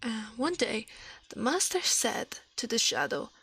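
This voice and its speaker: A woman's voice: fairly high pitch, big pitch swings, a little slow, a bit bright, a little hiss or hum, rough recording. A woman giving an ASMR reading of a storybook, stumbling now and then and correcting herself. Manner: reads with stumbles and self-corrections. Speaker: ASMR streamer.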